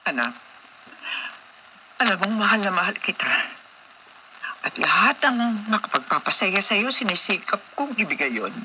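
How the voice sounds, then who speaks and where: A woman's voice: medium pitch, big pitch swings, moderate pace, long pauses, noisy background, lightly acted. An actress playing a wealthy middle-aged mother in a Filipino radio drama, doting yet probing, with theatrical delivery. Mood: tender, concerned. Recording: radio drama with music underneath.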